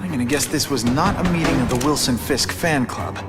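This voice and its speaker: An actor playing a young superhero in a video game, talking to himself in quick, joking quips. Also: male voice, medium pitch, loud music behind the voice, natural voice. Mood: wry. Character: wisecracking young male superhero. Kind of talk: video game cutscene dialogue.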